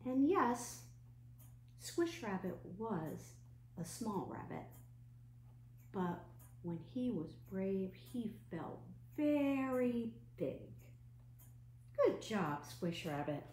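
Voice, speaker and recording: Slow-paced woman storyteller in a roomy space. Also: medium pitch. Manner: gentle, expressive, asks listeners simple questions. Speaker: children's librarian hosting an online storytime. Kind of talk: storytelling for young children, live storytime. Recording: roomy echo, clear background noise and hum.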